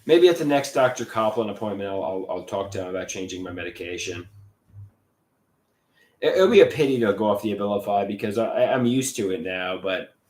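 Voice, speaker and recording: A man hosting a livestream, reading chat messages aloud and answering them, his voice a bit congested, with halting, stammering restarts that trail off. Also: fairly low pitch, animated, a little mellow, roomy sound. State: slightly congested voice.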